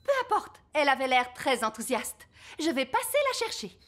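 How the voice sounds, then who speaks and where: A grand cartoon fairy queen, speaks in a high voice that swoops up and down. She is a breezily confident mother who sounds used to being in charge. Music plays clearly behind her. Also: very animated, moderate pace. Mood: cheerful, bossy. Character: regal, self-assured fairy queen and mother. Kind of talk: animated children's cartoon acting.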